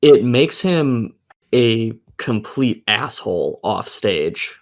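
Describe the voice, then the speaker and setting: A man's voice: mid-range voice, animated, slow pace, creaky. A man co-hosting a casual gaming podcast, talking bluntly and cursing heavily.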